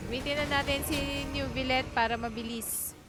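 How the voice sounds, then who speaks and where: A woman's voice: high-pitched, fairly even intonation, fast talker. A woman with a Filipino accent chatting casually as a live game streamer, switching between languages. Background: game music.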